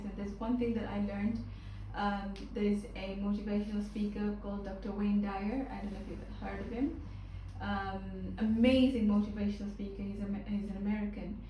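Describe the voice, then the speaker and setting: Expressive woman from Tanzania leading a group talk, picked up far off in a reverberant room. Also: medium pitch, normal speed, hardly any pauses, slight background noise. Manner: loud and expressive. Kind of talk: group discussion. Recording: echoey room, distant mic.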